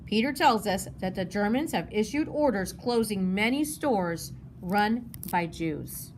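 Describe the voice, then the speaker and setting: A woman's voice: medium pitch, expressive, unhurried, lightly acted, rough recording. Woman reading as a serious, steadfast father. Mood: grave, resolute. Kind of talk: children's novel read-aloud. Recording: noticeable background noise.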